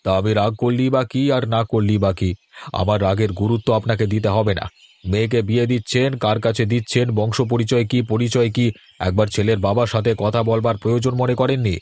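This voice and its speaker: A man playing a proud older village patriarch, gruff yet caring, in an audio drama. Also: on the low side, fairly even intonation, quick pace, darkish tone, lightly acted. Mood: curt. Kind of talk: Bengali audio story drama acting.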